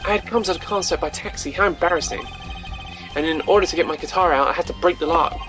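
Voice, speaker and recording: A woman's voice: fairly low pitch, quick pace, music in the background, lightly acted. A woman voicing a cool but self-pitying young male prosecutor in a video game, complaining theatrically. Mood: aggrieved.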